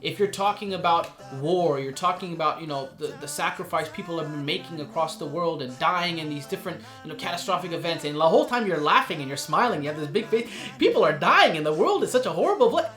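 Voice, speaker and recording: A man's voice: a little high, expressive, fast talker, hardly any pauses, bright, forceful tone, roomy sound, faint music behind. A man hosting a recorded course, explaining performance techniques in an earnest, conversational teaching manner with frequent asides. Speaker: course host and instructor.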